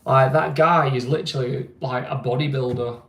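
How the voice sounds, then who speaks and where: A man's voice: medium pitch, steady tone, moderate pace, fairly bright tone, roomy sound. A man with a Mancunian accent, chatting casually on a stream and often asking "you know what I mean". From England. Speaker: vlogger. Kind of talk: casual live-stream chat.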